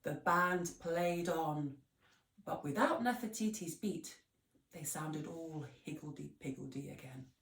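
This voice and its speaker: A woman reading a children's story aloud with expressive, theatrical emphasis on key words. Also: low-pitched. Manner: theatrical, deliberate word emphasis. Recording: roomy echo, distant microphone.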